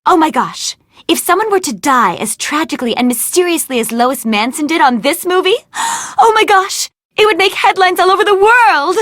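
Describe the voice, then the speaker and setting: A ditzy, fame-hungry young actress from an adventure game. Her voice is high and wildly expressive, with a dry, close studio sound. She gushes theatrically, like a gossip in love with herself. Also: normal speed, no room sound. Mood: frantic, excited. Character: vain, ditzy, dramatic young movie actress, scheming for fame. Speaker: video game voice actor. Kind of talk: animated adventure game dialogue.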